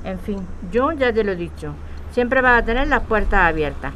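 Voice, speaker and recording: A woman playing a brisk, caring grown-up daughter who runs a bar, in a naturalistic audio drama. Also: medium pitch, animated, a bit bright, dull audio, a little hiss or hum, close to an everyday voice. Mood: teasing, caring.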